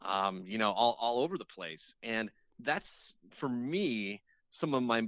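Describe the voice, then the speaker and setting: A man's voice: medium pitch, animated, a little slow, a bit bright, no echo. Man on a dull, dry lo-fi line. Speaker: radio show host appearing as guest. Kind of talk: casual interview chat.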